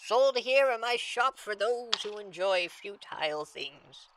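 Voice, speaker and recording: A woman's voice: medium pitch, animated, background noise. A woman playing a sly, sinister old antique shopkeeper with a condescending manner, in a horror audio drama. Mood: ominous, dismissive. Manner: slow, condescending endearments.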